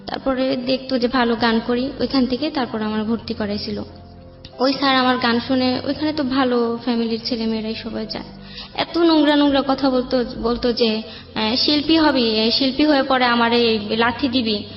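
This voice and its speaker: A Bangladeshi woman recounts her own life as a guest in an interview. Her voice sits a little high and she speaks colloquially. The recording is echoey and dull, with music under it. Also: fairly even intonation, normal speed. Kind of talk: personal life storytelling, conversational. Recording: echoey room, dull sound, faint music.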